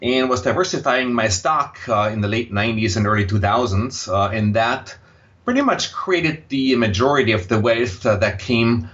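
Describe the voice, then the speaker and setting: A man's voice: medium pitch, animated, normal speed, a bit bright. Austrian-accented older man, dull audio. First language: German. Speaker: podcast guest, impact investor and former tech executive. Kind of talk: conversational personal account in an interview.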